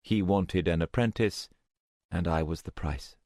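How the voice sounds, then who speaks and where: A man's voice: low-pitched, a little slow, a little mellow, no echo, studio quality. A man voicing a frightened, weary young woman who speaks in a hesitant, strained way. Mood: subdued.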